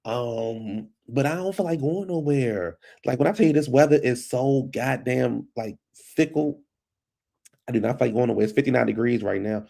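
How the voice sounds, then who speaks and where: A man's voice: mid-range voice, normal speed, creaky, warm tone. A man with a stuffed-up nose and a fading voice, chatting casually and rambling as a vlog host at his computer. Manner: rambling, loose and chatty, calls listeners baby. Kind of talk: casual solo chat. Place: at home at a computer.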